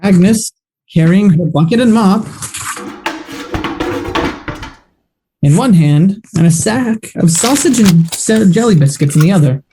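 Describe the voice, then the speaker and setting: An actor playing the deliberate, dramatic narrator of an old-time style detective radio play. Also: male voice, fairly high pitch, mellow, slight background noise, natural voice, rough recording. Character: melodramatic detective-serial narrator.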